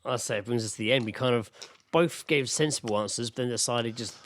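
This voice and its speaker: A man's voice: medium pitch, fast talker, slight background noise. A man co-hosting a podcast in casual, sweary, bantering comedic chat. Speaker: podcast co-host.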